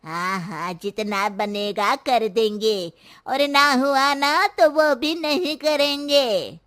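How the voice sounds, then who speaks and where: A woman's voice: on the high side, takes it slowly, fairly bright tone, voice pushed up. A woman voicing a blunt, protective elderly mother-in-law in a story, grumbling and curt.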